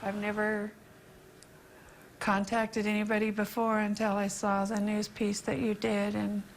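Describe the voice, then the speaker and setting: A middle-aged woman giving halting, unscripted answers as an interviewee. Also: medium pitch, completely flat delivery, slight background noise, low-quality recording. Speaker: interviewee, victim's daughter.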